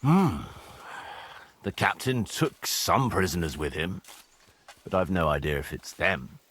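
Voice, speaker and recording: A man playing a blunt, mocking, foul-mouthed medieval mercenary commander in a video game, with a husky, forceful voice over music. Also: low-pitched, very animated, a little slow, heavily acted. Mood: suspicious. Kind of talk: video game cutscene acting.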